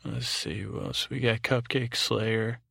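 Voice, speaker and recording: A man's voice: medium pitch, a little slow, vocal fry, breathy edge, no echo, studio quality. A man hosting a bedtime podcast in a soothing, soporific drone, meandering and stumbling through his monologue as he interrupts himself. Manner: droning, monotone. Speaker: podcast host.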